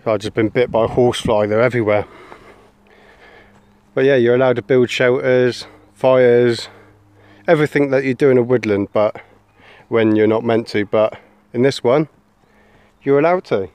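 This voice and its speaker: A man's voice: mid-range voice, fairly even intonation, moderate pace, pauses often, a little mellow, clear, resonant tone. Chatty English man filming outdoors. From England. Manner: chatty, calls his son mate. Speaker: bushcraft vlogger. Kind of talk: casual outdoor vlog. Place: woodland and grassy campsite outdoors. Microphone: handheld camera.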